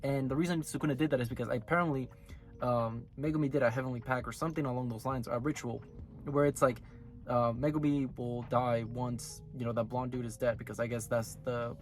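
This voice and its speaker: A man hosting his own anime review channel, talking casually while sick, so his voice comes out hoarse and raspy as he rambles and corrects himself. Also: mid-range voice.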